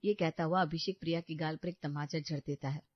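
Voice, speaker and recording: Woman narrating a cartoon story, steady, dull lo-fi dry sound. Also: deep voice, brisk, dry recording.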